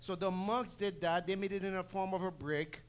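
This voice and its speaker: Haitian-accented man with a lively patter. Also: sits high, moderate pace. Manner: animated, repeats "okay?", laughs often. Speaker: cheese presenter. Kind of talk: casual live tasting talk.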